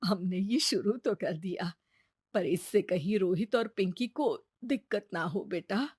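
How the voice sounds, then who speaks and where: A woman voicing a gentle, self-effacing old village grandmother in a cartoon moral story, affectionate and hesitant. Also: medium pitch, measured pace, breathy edge, no echo, studio quality. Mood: worried, disheartened. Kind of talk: scripted animated moral story dialogue.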